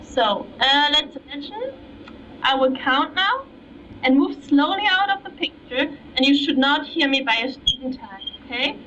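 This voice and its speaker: A young woman giving a slow, explanatory live product demo at a trade fair booth, often checking in with "okay?". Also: high voice, lively intonation, bright, forceful tone. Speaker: product demonstrator. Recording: dull distant audio with trade fair noise.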